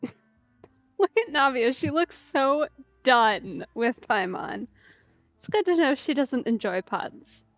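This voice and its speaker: Unhurried woman streamer with a high-ish voice. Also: creaky, dry recording. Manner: playful, wry. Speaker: game streamer. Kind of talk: casual let's play commentary. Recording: dry, slightly dull microphone. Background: game music.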